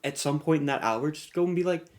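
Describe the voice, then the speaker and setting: A man's voice: on the high side, fast talker. Playful, rambling man co-hosting a podcast. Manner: playful, rambling, animated banter. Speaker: podcast co-host. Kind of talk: casual comedic chat.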